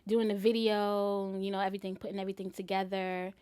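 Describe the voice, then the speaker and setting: A woman's voice: mid-range voice, level tone, fast, a little mellow, clean tone. A young woman from the Bronx, chatting easily as a singer being interviewed. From New York, the Bronx. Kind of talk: casual interview chat.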